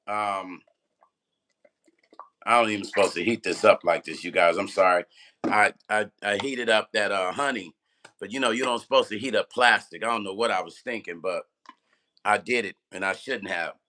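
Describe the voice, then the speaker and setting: Man, livestream vlogger, strained voice, bright forceful tone, lowish pitch, clears throat a lot. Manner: loose, talkative, addresses viewers by name. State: strained, partly lost voice. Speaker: music vlogger and producer. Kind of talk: casual livestream chat.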